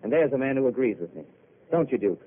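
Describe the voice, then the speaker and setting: An actor playing a weary, eloquent intellectual who makes theatrical speeches, in old radio drama. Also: male voice, medium pitch, very animated, fast talker, natural voice. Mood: wistful. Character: weary poetic intellectual man, wistful and eloquent. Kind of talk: 1930s radio drama acting. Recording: tinny old broadcast with hiss.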